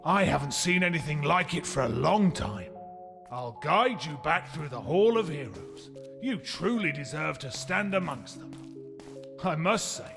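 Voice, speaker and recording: A man's voice: a little high, creaky. A man playing a chatty older guide in a video game, admiring and reminiscing as he talks. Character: chatty older male guide of heroes' hall. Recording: long echoing hall, music behind.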